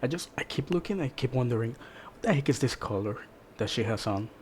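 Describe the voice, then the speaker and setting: A man's voice: mid-range voice, lively intonation, a little fast, slight background noise. A man reacting in casual chat as a co-commentator on video game voice lines.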